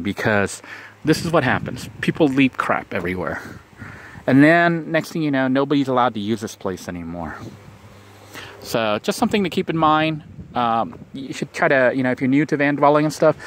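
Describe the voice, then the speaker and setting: A man's voice: mid-range voice, slight background noise. A man talking outdoors in a casual vlog, giving earnest, rambling advice and repeating himself. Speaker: vlogger. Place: outdoors on a vacant lot.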